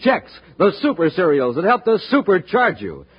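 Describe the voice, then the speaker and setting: Animated American vintage radio announcer man. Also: high-pitched, moderate pace, breathy edge. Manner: showman's hard-sell delivery aimed at kids. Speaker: announcer, narrator and ad pitchman. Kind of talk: 1950s radio announcing, scripted. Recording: dull, noisy 1950s radio recording.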